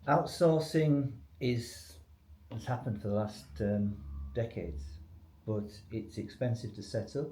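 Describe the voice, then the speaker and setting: A man's voice: medium pitch, slow pace, dark tone. A man speaking as a company expert in an interview, explaining business solutions conversationally. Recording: echoey room, faint background noise.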